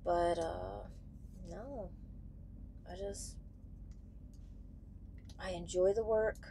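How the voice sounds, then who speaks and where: A young woman talking casually and candidly to camera in a halting way, searching for words as she goes. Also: on the low side, steady tone, gravelly, warm tone, a little hiss or hum. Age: young adult. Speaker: truck driver vlogger. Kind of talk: casual vlog talk to camera. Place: small padded truck sleeper bunk, indoors.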